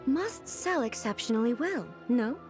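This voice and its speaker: Composed, regal woman ruler, high and lilting. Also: animated. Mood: composed, inquisitive. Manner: formal, composed, measured. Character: regal, curious female ruler with a composed air. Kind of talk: video game voice acting. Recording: music behind the voice.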